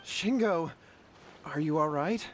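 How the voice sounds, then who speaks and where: A man's voice: a little high, expressive, takes it slowly. A man voicing a humble, worried young brother in a video game, polite and contrite in his delivery. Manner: polite, concerned.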